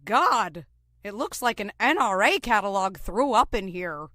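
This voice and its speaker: A woman's voice: medium pitch, big pitch swings, unhurried, bright, forceful tone, no echo, studio quality. A woman playing a sarcastic, blunt female survivor in an audio drama. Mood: wry.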